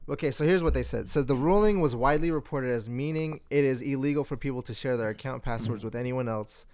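A man's voice: mid-range voice, fast, a little mellow, a little hiss or hum. A young man co-hosting a podcast, explaining things informally in a hesitant, filler-heavy way. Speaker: podcast co-host. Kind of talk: casual chat, informal explaining.

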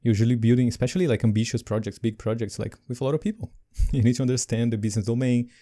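Male podcast co-host, non-native English, mellow tone, animated delivery. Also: mid-range voice, racing. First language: not English. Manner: animated, laughs between points. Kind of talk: casual podcast chat.

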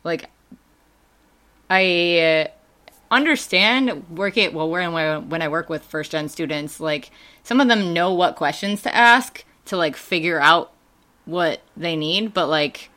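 Bright clear woman with a Wisconsin accent. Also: lowish voice, expressive, takes it slowly. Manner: laughing, rambling with many fillers. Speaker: podcast guest. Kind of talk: casual chat.

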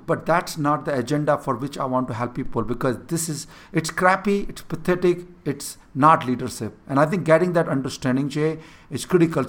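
A man's voice: a little high, bright, forceful tone. A man with an Indian accent, Hindi his first language, earnestly coaching a younger person on leadership and repeating his key points. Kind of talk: mentoring conversation.

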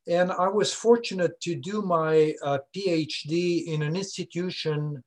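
An older man from Switzerland with a Swiss French accent, a scientist explaining his research in an interview, with many "uh" pauses. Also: fairly high pitch, fairly even intonation, darkish tone. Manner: explanatory, frequent "uh" fillers. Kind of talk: conversational interview.

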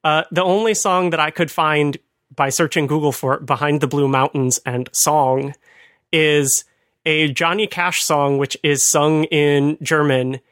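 A man's voice: on the high side, fairly even intonation, a little slow, fairly bright tone, no echo. A man co-hosting a conversational talk, explaining things with dry amusement. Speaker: podcast host. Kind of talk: conversational retro computing commentary.

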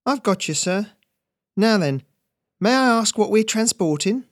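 A man's voice: high-pitched, lively intonation, unhurried, no room sound, clean studio sound, voice pushed up. A man voicing a scrappy young boatman's son with a pushy, eager sales patter. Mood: eager hustling. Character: young male boat kid, scrappy, eager hustler.

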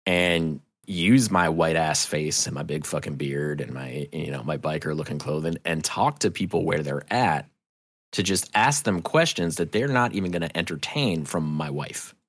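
Middle-aged man, low creaky voice, dry close sound, halting thoughtful podcast guest, from New England. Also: lively intonation, fairly bright tone, no room sound. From New England, United States. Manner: halting, thoughtful, self-correcting. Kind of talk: casual interview conversation.

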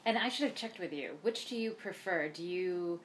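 A woman interviewing a student, asking careful, measured questions and humming along softly as she listens. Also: on the low side, animated, slight background noise, rough recording. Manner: careful, measured, with soft backchannel hums.

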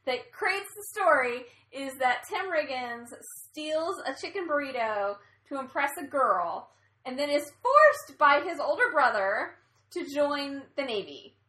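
Young woman, high lively voice, bright, chatty, in an echoey room. Casual co-host energy. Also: a little slow. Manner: bubbly, chatty, lots of fillers. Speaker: co-host of a movie chat. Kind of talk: casual chat.

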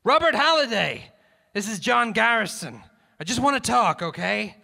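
A man's voice: sits high, sing-song, drawn-out words, bright, forceful tone, husky, voice pushed up, exaggerated voice. A man playing a weary, earnest police negotiator in a comic short story, pleading and calling out across a distance. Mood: urgent shouting.

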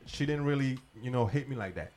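A man on a casual podcast, speaking in a low-key, hesitant way with many fillers. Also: a little fast, darkish tone. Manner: low-key, hesitant, lots of "you know". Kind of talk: casual chat.

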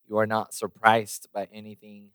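A man's voice: lowish voice, monotone, normal speed, a bit bright, clear, resonant tone, dry recording. A man preaching a sermon and praying, losing his voice a little, with many fillers and restarts. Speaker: pastor. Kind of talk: sermon with prayer.

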